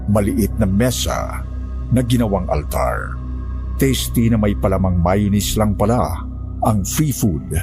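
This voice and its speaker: A man's voice: lowish voice, sing-song, a little slow, gravelly, music in the background. A man reading scripted first-person narration as the narrator of a horror story.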